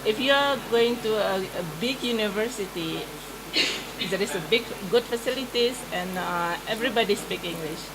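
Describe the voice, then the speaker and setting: A woman with an Indonesian accent talks in a group discussion at a workshop and often stops to search for the right word. Her pitch is mid-range. Many people chatter around her. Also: moderate pace, poor audio. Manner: hesitant, searching for words. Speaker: university teacher. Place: workshop room. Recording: crowd chatter in background.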